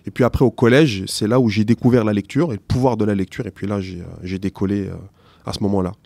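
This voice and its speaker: A middle-aged man whose first language is not French, answering interview questions as a teacher, slowly and hesitantly with many restarts. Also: on the low side, fairly even intonation, gravelly, warm tone, dry recording.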